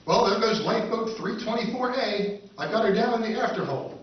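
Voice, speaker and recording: Guy doing a joking surveyor buddy, really high voice, boomy echoey hall. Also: animated, a little fast. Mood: casual. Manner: breezy, joking. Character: easygoing male surveyor partner. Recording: echoey hall, far mic, muffled, noise.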